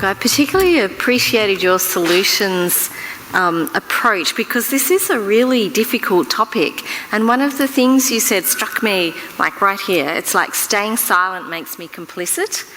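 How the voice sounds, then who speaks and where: A woman moderates a conference question session, her tone bright and clear. She speaks in an appreciative, measured way, with faint noise behind her. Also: mid-range voice, lively intonation, long reverb tail, poor audio.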